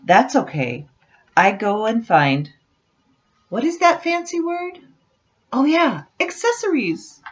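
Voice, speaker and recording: A woman's voice: a little high, slow, long pauses. An older woman reading a picture book aloud to her grandchildren, chatting to them expressively as she goes. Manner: expressive, addressing children directly. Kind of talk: read-aloud storytelling with chatty asides. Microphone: computer webcam microphone. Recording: dull, lo-fi home webcam sound.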